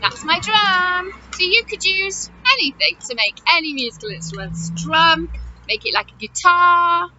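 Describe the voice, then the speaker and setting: A woman talking chattily to young children as their teacher, animated and full of questions and wonder. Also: extremely high pitch, a little slow. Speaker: children's teacher hosting story follow-up activities. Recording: dull audio with loud noise and clicks.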